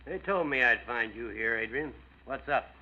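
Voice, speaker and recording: An actor playing a skeptical but indulgent police inspector, brusque and wry, in a 1940s radio drama. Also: male voice, medium pitch, animated, moderate pace, a little hiss or hum, natural voice. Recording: dull vintage radio recording.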